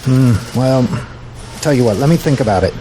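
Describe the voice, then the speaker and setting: A gruff but kindly boss played by a man in an audio drama. His mid-range delivery is casual and hesitant, over faint background ambience. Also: mid-range voice, expressive, moderate pace, dark tone, slight background noise, lightly acted.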